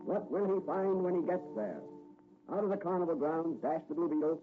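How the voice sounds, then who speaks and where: An actor playing a dramatic, urgent announcer narrating a 1940s radio serial. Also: male voice, a little high, fairly quick, lightly acted. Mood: suspenseful. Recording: muffled old radio recording. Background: loud dramatic music.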